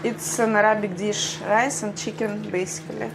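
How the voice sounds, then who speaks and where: A woman's voice: medium pitch, fairly even intonation, moderate pace, background noise. A young woman with a Ukrainian accent chatting casually on a livestream, laughing often and speaking in slightly broken English. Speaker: livestream vlogger. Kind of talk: casual livestream chat reading viewer comments. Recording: music playing behind.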